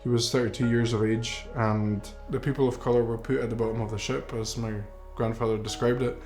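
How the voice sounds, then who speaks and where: A man's voice: mid-range voice, level tone, brisk, darkish tone. A young man in his twenties who grew up in Mexico, a filmmaker telling his grandfather's story in an earnest, reflective way. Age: 20s. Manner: earnest, reflective, with some fillers. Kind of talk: documentary narration. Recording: roomy with music underneath.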